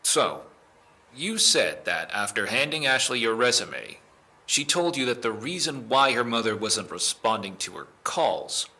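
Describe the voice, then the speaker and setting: A man playing a firm, methodical police officer in an audio drama, speaking in a formal, deliberate, official manner. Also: on the low side, sharp tone, heavily acted. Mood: grave, official.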